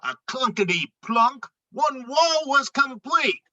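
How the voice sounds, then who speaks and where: A woman reading a children's book aloud in an expressive, dramatic and deliberate storytelling style. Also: high voice, muffled recording.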